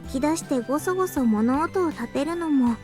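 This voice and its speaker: A high, quick-spoken teenage shrine girl with gentle, formal manners. She is polite and apologetic, in the manner of a Japanese visual novel heroine, with faint music underneath. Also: animated. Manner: soft, formal and courteous.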